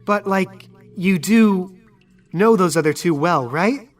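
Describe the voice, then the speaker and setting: A woman's voice: on the low side, expressive, recorded from a distance, soft background music. A woman voicing a big, nervous young female traveller in a tabletop roleplay, with a hesitant manner. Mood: curious. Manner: hesitant, halting.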